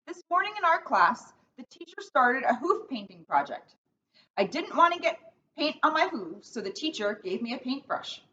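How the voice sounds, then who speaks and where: A woman's voice: sits high, big pitch swings, normal speed, sharp tone, exaggerated voice. A woman voicing a sensitive young male zebra in a storybook, earnest and plaintive, on a distant mic. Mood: recounting, hurt. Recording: distant mic, dull sound, faint noise.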